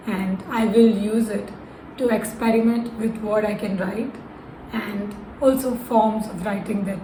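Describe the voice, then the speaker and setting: A woman's voice: medium pitch, mellow. A young adult woman, a university student, giving a prepared introduction of herself. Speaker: university student, columnist nominee. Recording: echoey room with noticeable noise.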